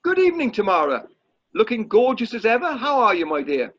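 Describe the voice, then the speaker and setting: A man playing a jovial, flattering older society chairman in an amateur radio play, repeating himself as he gushes. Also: sits high, a little fast, exaggerated voice. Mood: gushing. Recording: muffled video call audio.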